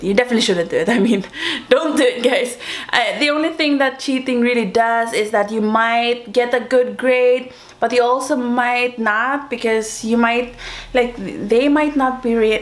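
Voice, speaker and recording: A young woman from Sweden tells a story to her viewers in a loose, chatty way, full of fillers, restarts and little laughs. Her voice sits a touch higher than most. She talks into a camera-mounted mic. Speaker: vlogger. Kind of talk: casual storytelling mukbang vlog. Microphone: camera-mounted shotgun mic.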